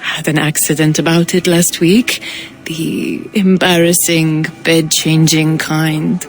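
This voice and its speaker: A woman's voice: low voice, little pitch movement, takes it slowly. A woman playing a gossipy, discreet informant with a sly, dry wit in a video game. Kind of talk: dramatic video game cutscene acting.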